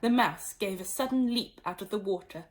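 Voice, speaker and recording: A woman reading a children's story aloud as an expressive audiobook narrator. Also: medium pitch, moderate pace.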